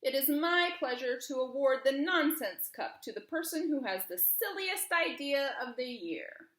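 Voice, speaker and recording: A grand storybook king, as a woman reader puts him on, addresses a crowd with ceremony. The delivery is loud and lively, with big swings of pitch, in an echoing room. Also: measured pace. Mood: ceremonious. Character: grand male king making a public award announcement.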